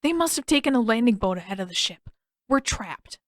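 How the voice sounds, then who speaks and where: A woman's voice: fairly high pitch, lively intonation, brisk, no room sound, studio quality, natural voice. Woman doing a flustered, exasperated teenage boy. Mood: panicked.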